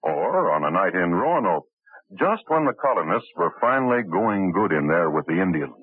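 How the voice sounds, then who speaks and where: A man's voice: low voice, big pitch swings, normal speed, husky, no echo, full character voice. A man narrating a crime story with a wry, storytelling manner in an old radio drama. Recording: tinny old radio broadcast, faint hiss.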